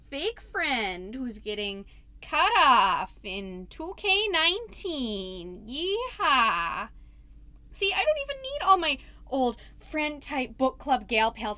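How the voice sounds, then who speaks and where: A woman's voice: high voice, bright, forceful tone, no room sound, heavily acted. A woman playing a folksy, rambling suburban PTA mom giving an over-polite, theatrical comedy monologue as a podcast host. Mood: peppy, defiant. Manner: chatty, over-polite, self-interrupting, theatrically drawn-out phrases.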